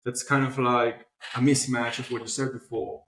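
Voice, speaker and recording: A man's voice: medium pitch, level tone, moderate pace, a bit bright, a little hiss or hum. A man speaking as an interview guest, explaining casually and often checking that the listener follows. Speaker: podcast guest, company founder. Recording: roomy, echoing sound.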